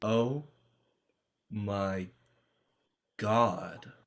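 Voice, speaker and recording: A man's voice: lowish voice, extremely slow. A man playing an awkward, dryly polite teenage boy in a play reading, hesitant and wry. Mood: bewildered. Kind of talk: dramatized livestream play reading.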